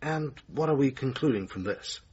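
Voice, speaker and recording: A man's voice: mid-range voice, expressive, normal speed, a little mellow, slightly rough, muffled recording. A man in a radio drama playing a sardonic, sceptical theatre manager who needles his lover in a dry, argumentative way. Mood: sceptical, scornful. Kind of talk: naturalistic radio drama acting.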